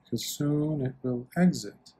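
A man leading a guided meditation as a monk, speaking gently and soothingly in short phrases with long pauses. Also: mid-range voice, husky.

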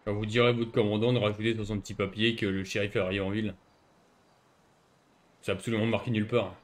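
A man's voice: on the low side, little pitch movement, fast. A man casually role-playing a civilian in an online game, talking back in a dismissive, cheeky way. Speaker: gamer playing a biker civilian stopped by police. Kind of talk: casual improvised trolling role-play.

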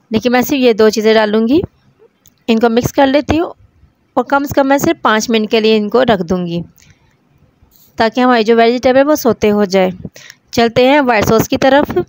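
Calm Urdu-accented woman cooking voice-over. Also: a little high, moderate pace, long pauses, dry recording. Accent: Pakistani Urdu. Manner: calm, repeats each step. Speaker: cooking channel host. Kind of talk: step-by-step recipe voice-over.

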